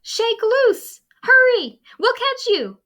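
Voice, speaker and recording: Woman voicing high, excitable squabbling prairie dogs. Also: slow, full character voice. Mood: urgent cheering.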